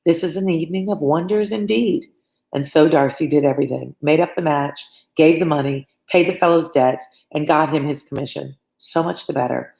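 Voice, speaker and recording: Woman reading as a wry, low-voiced Regency father. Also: moderate pace, gravelly, a little mellow, reverberant, poor audio. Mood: wry, amused. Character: wry, dry-humoured older father in a Regency novel.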